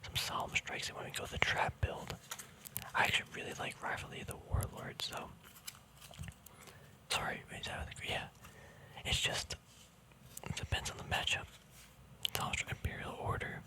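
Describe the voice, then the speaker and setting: A man's voice: normal speed, pauses often, poor audio. Whispering man, casual ASMR. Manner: hesitant, musing, trailing off mid-thought. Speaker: ASMR video creator showing a trading card deck. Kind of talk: whispered ASMR, casual rambling show-and-tell.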